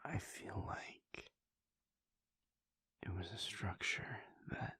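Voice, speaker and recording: A man voicing a whispering gravelly vampire prince. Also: fairly low pitch, expressive, extremely slow, no room sound, clean studio sound, full character voice. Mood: wistful. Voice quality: gravelly, breathy. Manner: soft whispering, hesitant restarts. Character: brooding male vampire prince, conflicted and introspective. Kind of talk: audio roleplay monologue.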